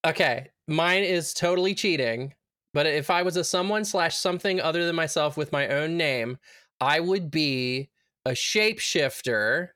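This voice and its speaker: A woman chatting casually with friends in a wry, drawn-out, sweary way. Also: deep voice, fairly bright tone, no echo, clean studio sound.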